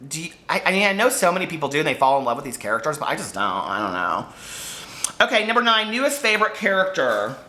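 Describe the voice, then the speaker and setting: A man in his forties chatting casually and excitably to viewers as a book vlogger, gushing and a little campy. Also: a little high, fast talker. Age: 40s. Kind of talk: casual chat to viewers, book tag video.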